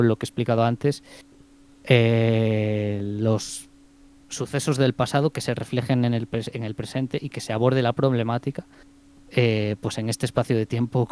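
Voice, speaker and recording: A man with a Spanish accent from Spain talks through a film review in a relaxed podcast chat. His voice is smooth and resonant, at a middle pitch. He pauses often, fills gaps and restarts his sentences as he thinks aloud. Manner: hesitant, many fillers and restarts.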